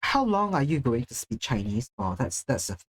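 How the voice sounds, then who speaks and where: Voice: mid-range voice, sing-song, normal speed, husky. Chatty streamer from Singapore. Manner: chatty, self-deprecating, swears casually. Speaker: livestreamer. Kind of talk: casual live-stream chat, reading chat.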